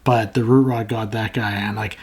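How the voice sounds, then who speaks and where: A man's voice: on the low side, fairly even intonation, moderate pace, darkish tone, slightly rough. A man with a sore throat, chatting casually and frankly to camera as the host of a plant channel. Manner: rambling, frank, self-mocking.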